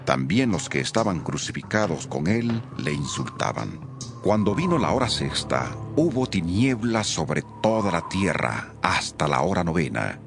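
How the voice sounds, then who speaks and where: Solemn deep-voiced male narrator. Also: sing-song, measured pace, gravelly, over music. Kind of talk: dramatized scripture narration.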